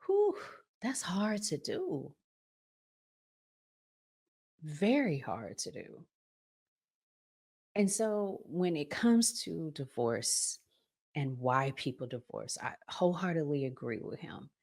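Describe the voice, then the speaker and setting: A woman with a low voice speaks at a slow, deliberate pace, as a host giving advice straight to her audience. She is conversational but emphatic, repeating key phrases and posing rhetorical questions. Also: big pitch swings, darkish tone, breathy edge. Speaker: relationship vlog host. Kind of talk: conversational relationship advice monologue.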